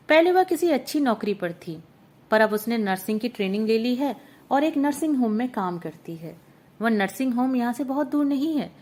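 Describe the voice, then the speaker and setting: A woman narrating a literary short story in a steady, measured storytelling manner. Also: a little high. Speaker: audiobook narrator.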